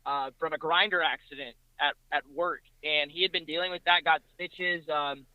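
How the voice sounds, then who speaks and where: A man's voice: fairly high pitch, fairly even intonation, normal speed, no room sound, dull audio. A man talking casually as a podcast guest, recounting racing details in a conversational way.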